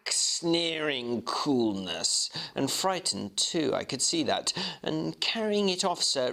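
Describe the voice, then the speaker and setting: A man playing a worldly Victorian gentleman who recounts a shocking incident with vivid emphasis, in an audiobook. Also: a little high, full character voice. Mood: disgusted, heated. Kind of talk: dramatic audiobook reading.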